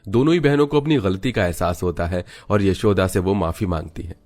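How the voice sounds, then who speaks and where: A man's voice: low-pitched, a little fast, a little mellow, faint music behind. A man narrating a scripted moral story as a storyteller.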